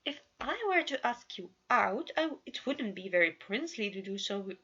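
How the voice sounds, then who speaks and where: A woman's voice: mid-range voice, animated, normal speed, pushed and bright, slightly rough, highs cut off. A woman voicing a theatrical, teasing princely young man in a story reading, with courtly manner. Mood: casual courtly. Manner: dramatic, courtly.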